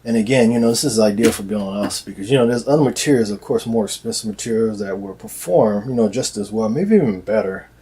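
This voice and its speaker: A man speaking casually and off the cuff as a loudspeaker maker presenting products, in a hesitant, repetitive, halting way. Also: lowish voice. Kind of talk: casual unscripted product explainer. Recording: noticeable background noise, amateur video sound.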